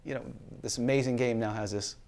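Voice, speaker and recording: A man's voice: medium pitch, steady tone, fast talker, a little mellow. A man reflecting on a game as an interviewee in a sports documentary.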